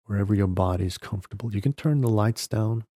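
A man leading a guided meditation, giving gentle step-by-step instructions in short phrases with long pauses between them. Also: fairly low pitch, no room sound, clean studio sound. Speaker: podcast host guiding a meditation.

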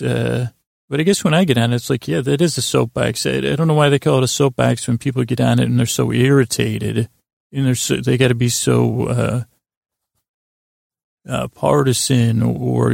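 A man hosting a sleep podcast with a meandering, whimsical monologue, halting often with stammers, repeats and long pauses. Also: medium pitch, vocal fry, dry recording, clean studio sound. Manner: gently whimsical, wandering off on tangents. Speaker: podcast host. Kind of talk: rambling bedtime monologue to lull listeners to sleep.

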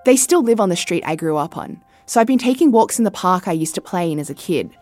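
A woman in her thirties from Australia narrating a documentary podcast as correspondent, measured and reflective. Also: on the low side, lively intonation, a little mellow, faint music behind. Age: 30s.

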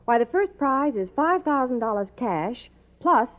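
An American woman with a fairly high, animated voice reads an old radio advertisement with scripted enthusiasm. The sound is thin and tinny. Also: measured pace. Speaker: commercial announcer. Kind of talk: 1950s scripted radio commercial. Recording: tinny vintage radio recording.

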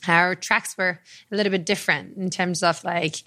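A woman's voice: fairly low pitch, fairly even intonation, moderate pace, sharp tone. A woman from Iran who works as a clinical psychologist, answering interview questions in a chatty way with filler words.

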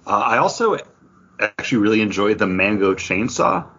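A man with a fairly deep, unclear-edged voice talks casually as a podcast co-host. He rambles along conversationally. The recording is a bit dull and lo-fi. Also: sing-song, moderate pace, creaky, fairly bright tone, husky. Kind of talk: casual chat.

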